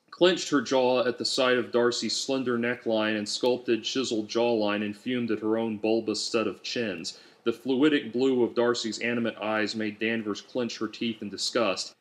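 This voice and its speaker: A man reading his own novel as scripted audiobook narration, in a measured, literary storytelling manner. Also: lowish voice, a bit bright.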